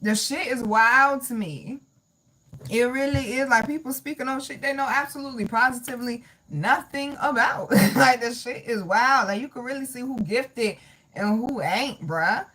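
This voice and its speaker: Woman, tarot livestream host; blunt, profane, sassy; bright, slightly high voice. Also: normal speed, poor audio. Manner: blunt, profane and sassy, calling viewers honey and baby. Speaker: tarot reader hosting a live reading. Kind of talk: casual livestream chat reading comments.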